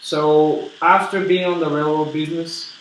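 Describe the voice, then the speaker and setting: Man playing historical steel tycoon, slightly high voice, earnest, polite, halting delivery, heavily reverberant room. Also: little pitch movement, a bit bright, recorded from a distance, close to an everyday voice. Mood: proud. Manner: earnest, halting, repeats words. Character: eager, polite Scottish-born steel tycoon being interviewed. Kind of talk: role-played historical interview. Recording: very echoey room with background noise.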